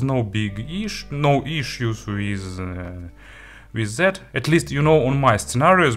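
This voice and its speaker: A man giving a casual spoken product review as an audio gear reviewer, in a halting way with frequent filler words. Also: lowish voice, fairly bright tone.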